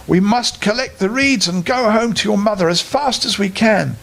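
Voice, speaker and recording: A man's voice: high voice, normal speed, vocal fry, a bit bright, voice pushed up. A man playing a loving father in a children's story, earnest and emphatic, speaking with feeling. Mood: proud, loving.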